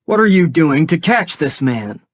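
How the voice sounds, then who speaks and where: A woman's voice: deep voice, very animated, moderate pace, no echo, clean studio sound. A woman reader voicing a stern, angry middle-aged father who speaks in curt, clipped lines.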